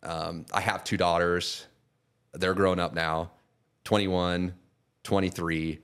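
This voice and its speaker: A man in his forties, a podcast guest, talking casually and rambling, with many restarts and trailing off quietly. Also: deep voice, level tone, slow, clear, resonant tone. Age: 40s. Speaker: guest, custom painter and product seller.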